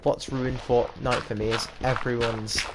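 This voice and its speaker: A man's voice: medium pitch, little pitch movement, a little slow, heavy background noise. A man in his twenties with a British accent, chatting casually and rambling while he plays a game, often laughing. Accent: British English. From England. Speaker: gaming streamer. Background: game music.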